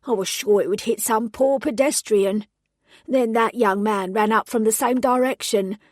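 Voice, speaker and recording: A woman voicing an elderly female pixie witness with a London accent, speaking high and breathy. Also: normal speed, darkish tone, dry recording, studio quality, close to an everyday voice. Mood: shaken.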